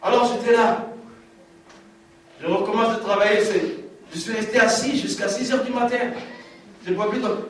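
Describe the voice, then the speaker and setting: A man's voice: very high-pitched, slight background noise. A man giving an emphatic preached testimony, repeating lines for effect, recorded from far off in a large hall. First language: African language. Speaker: testimony speaker.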